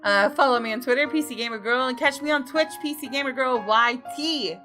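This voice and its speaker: A woman's voice: high voice, brisk, pushed and bright. A young woman hosting a gaming channel, chatting informally to her viewers during a let's play. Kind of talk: casual let's play commentary with some read-aloud visual novel narration. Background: game music.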